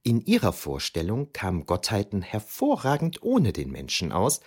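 A man's voice: lowish voice, huge pitch swings, normal speed, a little mellow, breathy edge, exaggerated voice. A man playing a reflective ancient historian who narrates memories of youth in a scripted, wryly explanatory way for an audio drama. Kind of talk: scripted first-person narration.

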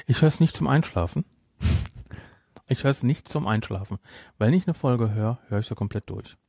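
Middle-aged man with a slightly creaky voice. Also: medium pitch, expressive, normal speed, darkish tone, no room sound, clean studio sound. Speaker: podcast host. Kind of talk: casual podcast chat.

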